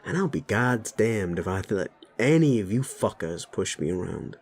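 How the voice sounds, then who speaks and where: A man voicing a brash werewolf bully boss in an audio roleplay, gravelly, swaggering and blunt, spoiling for a fight. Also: fairly low pitch, sing-song, normal speed, darkish tone, heavily acted. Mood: angry, protective.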